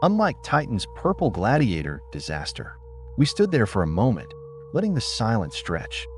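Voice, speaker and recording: Male audiobook narrator, very lively intonation. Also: lowish voice, normal speed. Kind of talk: first-person scripted story narration. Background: music.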